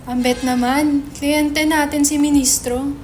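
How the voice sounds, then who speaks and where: A cynical, pragmatic office guy, played by a woman in a dramatic reading. The high voice is blunt, informal and exasperated, over faint background noise. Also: flat delivery, measured pace. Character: exasperated, foul-mouthed male office partner, cynical and pragmatic.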